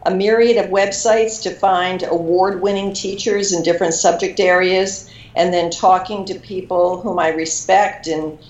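A woman who manages an education program, speaking in a measured, slightly halting way with fillers. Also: lowish voice, steady tone, echoey room, muffled recording. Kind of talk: interview answers.

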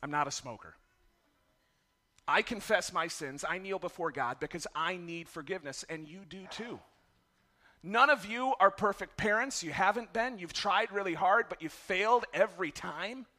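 A middle-aged man delivers a sermon in a raspy, strained voice. He speaks high and bright, candid and self-deprecating about raising his children. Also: animated, moderate pace. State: raspy voice from yelling. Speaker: pastor.

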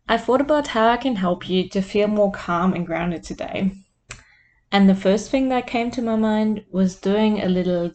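A woman who hosts a podcast as a life coach, leading a guided meditation in a soft, soothing voice. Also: mid-range voice.

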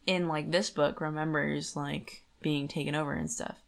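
A woman's voice: deep voice, level tone, moderate pace, gravelly. A woman co-hosting a podcast, chatting in a very informal, conversational way. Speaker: podcast co-host. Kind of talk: casual chat.

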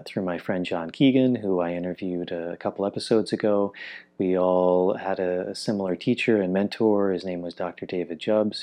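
A man's voice: low-pitched, darkish tone. A man speaking conversationally as a podcast host, introducing his show and interviewing a guest.

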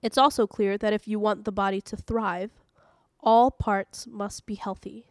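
A woman hosting a podcast, reading an audio devotional in a calm, measured, earnest way. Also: mid-range voice, no room sound, studio quality. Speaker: devotional podcast host and reader.

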